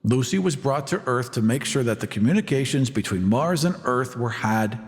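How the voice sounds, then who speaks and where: A man's voice: medium pitch, expressive, moderate pace, lightly acted. Man playing a defensive colony founder, reverberant. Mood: guarded, evasive. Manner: evasive, insistent, clipped answers. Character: defensive, self-important male colony founder and engineer under fire. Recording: long reverb, music underneath.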